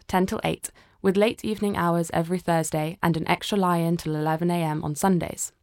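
A woman reading a scripted events listing as a community radio presenter. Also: on the low side.

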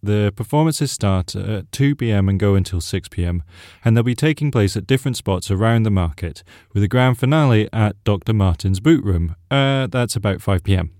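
A man's voice: fairly low pitch, animated, moderate pace, a little mellow, dry recording, studio quality. A man presenting scripted local event announcements on community radio.

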